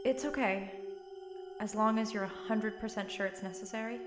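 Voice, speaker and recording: Plucky college-age granddaughter young female actor, mid-range voice, teasing streak, audio drama, loud music bed. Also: medium pitch, moderate pace, lightly acted. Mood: subdued.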